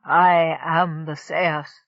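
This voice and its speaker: A woman voicing a dignified winged alien father who speaks in grave, measured tones. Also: sits low, level tone, no room sound, voice pushed down, exaggerated voice. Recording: dull lo-fi dry recording.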